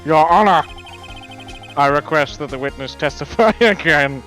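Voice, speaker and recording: A man voicing a proud, formal but flustered male prosecutor in a game fan dub, halting and stiffly pompous. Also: fairly high pitch, fairly bright tone, soft background music, natural voice. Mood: stiff, awkward.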